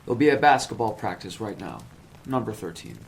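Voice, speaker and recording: A man's voice: fairly low pitch, very animated, fairly quick, gravelly, slight background noise. A man playing a nervous, evasive psychology professor who talks in a polished, wordy, lecturing way. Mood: defensive. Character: smooth, fussy male college professor, nervous and evasive.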